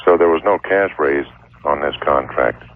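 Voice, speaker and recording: A middle-aged man calling a radio show, explaining his situation in a measured, deliberate, halting way. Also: rumbling low, a little hiss or hum. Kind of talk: explaining a problem on a phone-in. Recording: tinny phone line on taped radio.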